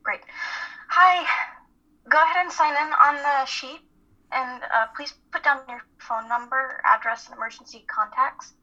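A woman's voice: high voice, fairly even intonation, unhurried, sharp tone, heavily acted. A woman playing an earnest, hesitant young college student who leads a support group in an amateur radio play reading. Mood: welcoming, slightly nervous. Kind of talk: live dramatic play reading. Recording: muffled, phone-like call audio.

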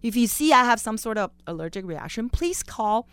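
A woman from China with a Chinese accent, telling personal stories as a chatty, animated interview guest. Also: on the high side, normal speed, smooth, dry recording. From Beijing, China. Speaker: guest, chef.

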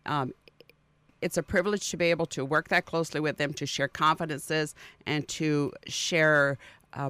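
A middle-aged woman with a crisp, clear voice makes a formal statement as an interview guest. She is earnest and deliberate, choosing elaborate words. The studio sound is dry and close. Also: low voice, fairly bright tone, no room sound. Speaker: parent association president, interview guest. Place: radio studio.

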